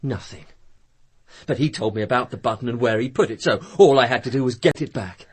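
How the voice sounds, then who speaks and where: An actor playing a bitter, contemptuous murderer holding hostages, with a British accent and a taunting manner, in a radio drama. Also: male voice, mid-range voice, a little fast, slightly rough, close to an everyday voice. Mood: smug menace. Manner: sneering, taunting.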